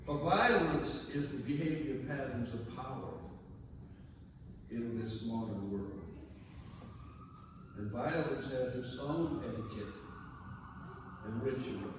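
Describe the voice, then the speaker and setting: A man giving a solemn sacred reading at a church service, speaking deliberately with dramatic, oratorical phrasing and emphatic repetition. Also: medium pitch, animated. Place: large reverberant church indoors. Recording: very echoey church hall, far mic, noisy.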